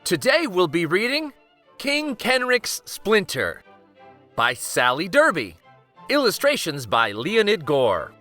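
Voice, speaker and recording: A man's voice: sits high, wildly swooping pitch, takes it slowly, bright, forceful tone, soft background music. A man hosting a children's read-aloud, narrating a picture book expressively.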